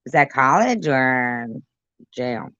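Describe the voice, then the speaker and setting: A woman's voice: very deep voice, expressive, slow, fairly bright tone, smooth, dry recording. Woman reaction commentator, sarcastic dry asides, dull lo-fi recording.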